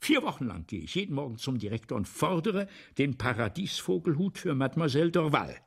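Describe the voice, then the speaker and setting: An actor in a German radio play playing an ageing, excitable, tormented theatre man who repeats himself insistently in theatrical monologue. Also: a little high, brisk, vocal fry, soft background music. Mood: fervent, demanding. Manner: insistent, repeats phrases, swings from hush to declamation.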